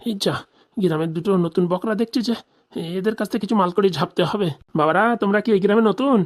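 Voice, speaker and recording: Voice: mid-range voice, quick pace, natural voice. A performer voicing a sly village man out to scrounge money in a comedy cartoon, nosy, gossipy and full of swearing.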